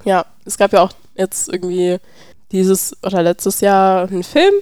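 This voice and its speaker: A young adult woman co-hosting a podcast in relaxed, casual conversation. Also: medium pitch, unhurried, clean tone, dry recording. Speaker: podcast co-host. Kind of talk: casual chat.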